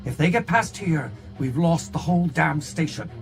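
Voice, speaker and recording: A man voicing a gruff, determined male station engineer who speaks in a blunt, urgent way. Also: fairly high pitch, animated, moderate pace, soft background music, pitched above the performer's own voice. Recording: loud background noise.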